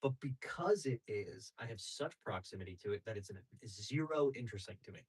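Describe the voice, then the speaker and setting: A man's voice: on the low side, lively intonation, fairly quick, slightly rough. A man, a comedian, chatting animatedly on a podcast and slipping into little put-on voices. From Greek family. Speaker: comedian guest. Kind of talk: casual podcast chat.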